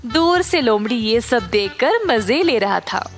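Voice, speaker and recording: A woman storyteller reads a scripted children's tale. Her voice is high and her pace is slow, with soft music underneath. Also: very animated.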